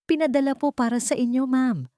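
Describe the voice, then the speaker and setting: A woman's voice: high-pitched, steady tone, brisk, mellow, no echo, clean studio sound, pitched above the performer's own voice, lightly acted. A woman voicing a polite, deferential young housemaid in a storybook reading. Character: polite timid female housemaid.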